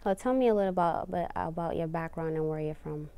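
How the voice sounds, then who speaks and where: A woman interviewing a guest, asking measured, slightly hesitant questions. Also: sits low, mellow, clear, resonant tone.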